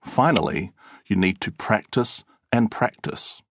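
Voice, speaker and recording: A man reading an interviewee's answers aloud in a clear, measured and expressive way. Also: on the low side, no room sound, clean studio sound. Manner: clear, measured and expressive, acting out example words. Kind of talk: scripted read-aloud.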